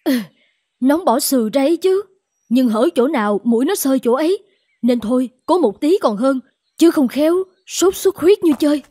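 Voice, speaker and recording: A woman's voice: high-pitched, lively intonation, moderate pace, mellow, no echo. A woman voicing a plucky young female soldier in a cartoon, spirited and matter-of-fact. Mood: resigned. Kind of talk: cartoon dubbing.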